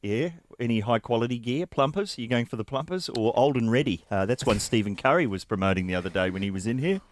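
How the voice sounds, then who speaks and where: A man's voice: mid-range voice, expressive, fast talker. A man with an Australian accent hosting a podcast, interviewing in a casual, jokey, teasing way. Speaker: podcast host. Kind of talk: casual comic banter and interviewing.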